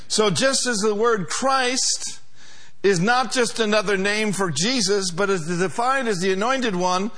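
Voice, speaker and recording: Pastor guy preaching hard, high bright voice, leads the crowd in repeating lines. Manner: emphatic preaching with call-and-response. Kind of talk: sermon.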